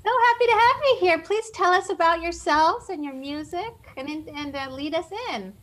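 A woman's voice: very high-pitched, moderate pace, fairly bright tone. A woman from northwest Spain hosting an online open mic in casual, gushing, exclamatory chat.

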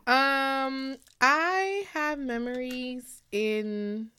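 A woman's voice: high-pitched, steady tone, extremely slow, sharp tone, clear, resonant tone. A woman from Guyana co-hosting a podcast, gossiping casually with slang and acted-out quotes. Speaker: podcast co-host.

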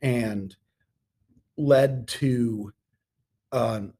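A middle-aged man speaking as an interview guest in an earnest, measured way with deliberate pauses. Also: medium pitch, mellow, breathy edge. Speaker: podcast guest, theatre artistic director. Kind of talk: conversational interview talk.

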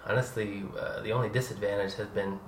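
A man's voice: on the low side, fairly even intonation, fairly quick. A man hosting a casual conversational interview, chatty and laughing often, restarting his sentences as he goes. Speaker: podcast host. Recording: roomy with faint ambient noise.

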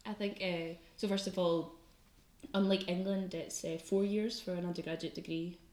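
A woman's voice: lowish voice, fairly even intonation, normal speed, darkish tone, echoey room. A young woman with a light Scottish accent, chatting as a student guest on a podcast, laughing often and using lots of fillers. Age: young adult. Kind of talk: casual podcast chat.

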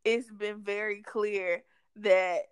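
A woman co-hosting a podcast in casual chat, reacting with lively exclamations and slang. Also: mid-range voice, takes it slowly, clean tone. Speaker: podcast co-host.

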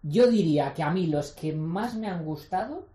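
A man's voice: on the high side, expressive, normal speed, dark tone, roomy sound. A man co-hosting a casual podcast chat, speaking frankly and swearing freely. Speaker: podcast co-host. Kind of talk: casual chat among friends.

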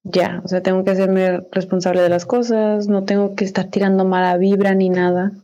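A woman's voice: mid-range voice, flat delivery, fairly quick, dark tone, highs cut off. A young woman in her twenties with a Mexican accent, co-hosting a podcast in a loose, slangy chat, repeating herself and laughing often. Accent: Mexican Spanish. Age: 20s. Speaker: podcast co-host. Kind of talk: casual chat.

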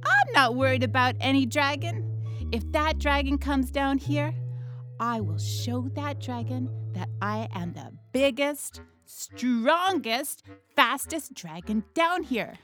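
A man's voice: very high-pitched, very animated, slow, bright, forceful tone, faint music behind, pitched above the performer's own voice, full character voice. A man voicing a tiny, fierce, boastful female ladybug in a children's story, bold and defiant, drawing out the boasts.

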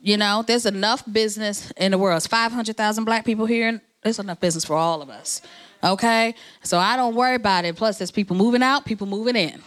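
A woman from New Jersey speaking as an organization's president at a networking event. She talks in a casual, conversational way and keeps rallying and encouraging her listeners. Her voice sits at an ordinary pitch. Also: fast talker, a bit bright. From New Jersey, USA.

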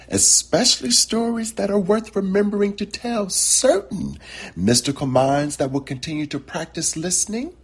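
A man voicing a wise, solemn old grandmother who tells mystical stories in slow, emphatic pronouncements. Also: on the high side, slightly rough, voice pushed up, exaggerated voice. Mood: gently instructive.

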